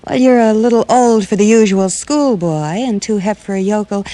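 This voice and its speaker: A woman's voice: mid-range voice, normal speed, warm tone, lightly acted. Coy, worldly carnival dancer played by a woman. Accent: American. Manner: coy, guarded, teasing. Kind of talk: 1940s-50s radio detective acting. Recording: old radio recording, faint music.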